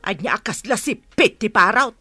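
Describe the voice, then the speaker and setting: A woman's voice: mid-range voice, expressive, normal speed, pushed and bright, breathy edge, no room sound, faint music behind. A woman playing an indignant, disapproving middle-aged Filipino mother in a radio serial, scolding and exasperated. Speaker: radio drama actor.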